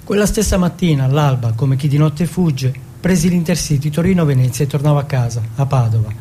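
A man from Turin performing a narrative theatrical monologue, reciting deliberately phrase by phrase. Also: fairly high pitch, mellow, a little hiss or hum. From Turin, Italy.

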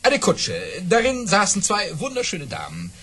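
An actor playing a boastful baron and officer, telling tall tales grandly and dramatically in for a radio play. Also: male voice, sits high, huge pitch swings, normal speed, sharp tone, husky, full character voice. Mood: excited. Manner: grandiose, dramatic, amused by his own boasts. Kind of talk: theatrical radio play storytelling.

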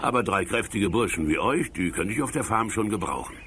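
Actor as a low-voiced, swooping, skeptical farmer. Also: male voice, lively intonation, fairly quick, background noise, close to an everyday voice. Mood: condescending. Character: skeptical, condescending grown male farmer. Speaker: audio drama actor. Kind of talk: vintage children's detective audio drama.

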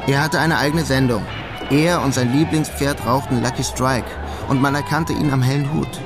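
A man's voice: medium pitch, steady tone, moderate pace, natural voice. Man as dry, laconic narrator over music. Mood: dry, wry. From Germany. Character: wry, resigned German immigrant man in New York. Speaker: first-person narrator. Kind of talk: radio drama narration, wry storytelling.

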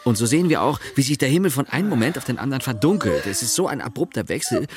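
An actor playing a wry, affectionate middle-aged husband who narrates a radio play in the first person. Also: male voice, medium pitch, very animated, racing, soft background music, close to an everyday voice. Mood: reflective.

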